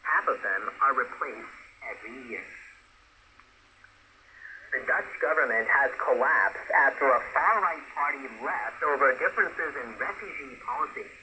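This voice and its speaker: Man reading news over noisy shortwave radio. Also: medium pitch. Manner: measured, formal, clearly enunciated. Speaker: news anchor. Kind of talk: scripted international news reading. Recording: shortwave radio broadcast with loud static noise.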